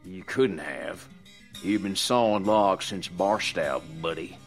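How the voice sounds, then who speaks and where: A man putting on a crude old trucker with a deep, low voice. He mutters and drawls, teasing in a rough, quiet country way. Faint music plays behind him. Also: slow pace. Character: gruff, crude older male trucker, teasing.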